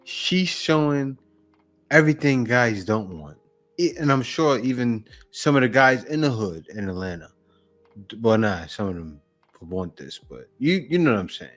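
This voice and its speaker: Reaction host, male, mid-pitched. Blunt and opinionated, speaks straight back at the clip. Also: very animated, normal speed, a bit bright, soft background music. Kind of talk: casual commentary.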